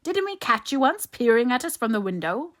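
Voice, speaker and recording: Woman playing rough, bullying, sneering man. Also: mid-range voice, animated, normal speed. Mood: angry, bullying. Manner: rough, goading, insistent.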